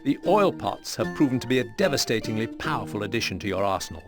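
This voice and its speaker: A man giving formal, measured, serious scripted narration for a medieval war game briefing. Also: fairly low pitch, sing-song, music in the background.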